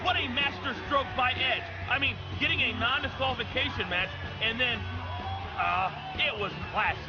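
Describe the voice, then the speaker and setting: A man's voice: extremely high pitch, normal speed, muffled recording. A man as a partisan, exclamatory colour commentator on wrestling in a video game. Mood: admiring. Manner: opinionated, exclamatory. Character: partisan colour commentator who sides with villains. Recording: loud music behind the voice.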